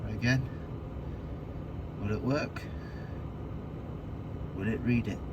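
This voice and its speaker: A man's voice: fairly low pitch, slow pace. A man giving casual, hands-on DIY narration as a vlogger, talking through what he is doing. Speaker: vlogger demonstrating car fixes. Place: around and inside a car, partly at a desk. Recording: clear background noise from car outdoors.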